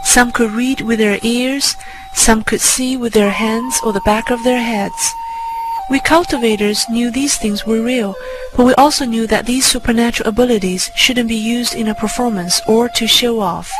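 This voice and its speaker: A woman narrator with a slightly high voice tells a first-person story in a measured, scripted way. Music plays clearly behind her throughout. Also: steady tone, rough recording. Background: music under the voice.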